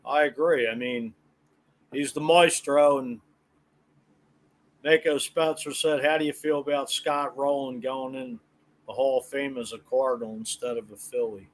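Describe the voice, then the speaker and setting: A man's voice: mid-range voice, fairly even intonation, a little slow, rough recording. An American man hosting a sports podcast in a casual, chatty style. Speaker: podcast host.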